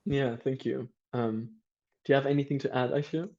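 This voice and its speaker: A man's voice: medium pitch, fairly even intonation, normal speed, mellow. A young man whose first language is Turkish hosts a panel talk, speaking earnestly and working his thoughts out aloud with plenty of "like" and restarts. His voice sits in an ordinary middle range, and the recording sounds a little dull and lo-fi. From Cyprus. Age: young adult. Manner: earnest, searching, many fillers and restarts. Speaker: podcast panel moderator. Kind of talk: casual panel discussion. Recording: slightly dull lo-fi audio.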